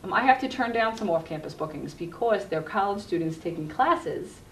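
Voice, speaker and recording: A woman's voice: medium pitch, lively intonation, moderate pace. A middle-aged woman, an educator, explaining her work earnestly in a conversational interview as a guest. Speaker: guest, university health educator. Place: echoey room, cable TV program recording. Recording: roomy echo, distant mic, faint noise.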